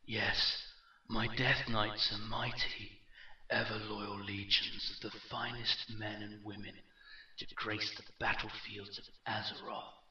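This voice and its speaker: A man performs a cruel undead warlord from a fantasy audiobook, mocking and commanding. The voice is slow and hard-edged, with a forceful bright bite. It is recorded a little dull. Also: fairly low pitch, flat delivery, reverberant, distant mic, heavily acted. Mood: cold, haughty. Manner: slow, mocking, rising to shouted intensity. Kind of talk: fantasy audiobook character dialogue.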